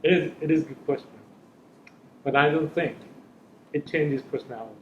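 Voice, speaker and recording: A man's voice: fairly high pitch, a little fast, slight background noise. Middle-aged man, echoey room. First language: Korean. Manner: earnest, searching for words in a second language. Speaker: panelist, parent. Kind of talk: panel discussion. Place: school hall or room. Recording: echoey room, slightly dull sound.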